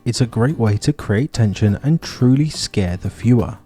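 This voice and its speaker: A man's voice: mid-range voice, expressive, moderate pace, warm tone, soft background music. A man hosting and narrating a scripted video essay.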